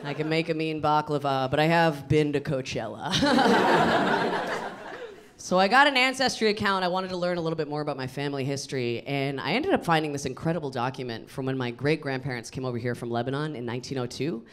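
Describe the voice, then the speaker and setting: An American woman in her thirties performing stand-up comedy in an animated, emphatic way into a handheld microphone. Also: low-pitched, brisk, a little hiss or hum. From suburbs of Boston, United States. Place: small indoor comedy venue with audience. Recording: live room with loud audience laughter. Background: audience laughter.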